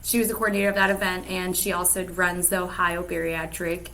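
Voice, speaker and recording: Woman, co-host, bright forceful tone, upbeat, chatty. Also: on the low side, little pitch movement, fairly quick, a little hiss or hum, rough recording. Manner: upbeat, greets viewers by name. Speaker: co-host of weekly live show. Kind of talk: casual livestream chat.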